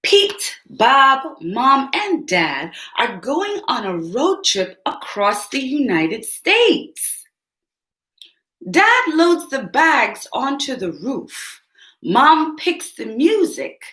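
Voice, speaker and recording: Woman, children's book read-aloud, slow and careful, roomy echo. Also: sits high, very animated, bright, forceful tone. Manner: speaks directly to young listeners, asks them questions.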